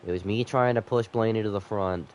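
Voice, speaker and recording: Nasal, low-voiced male game streamer. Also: brisk, a little hiss or hum. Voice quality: slightly nasal. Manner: rambling, chatting with viewers. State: sick with a cold. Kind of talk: casual live gaming stream commentary.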